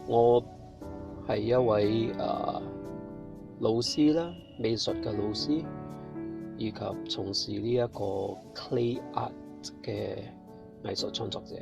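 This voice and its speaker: Slow gravelly man from Malaysia. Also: fairly low pitch. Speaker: art teacher and clay artist. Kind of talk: prepared reading. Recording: roomy echo with music behind. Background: instrumental music.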